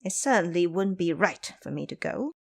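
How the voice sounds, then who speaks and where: A woman's voice: on the low side, animated, moderate pace, gravelly. A woman voicing a proud, mocking male monkey king in an audio drama, commanding and teasing. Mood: scornful.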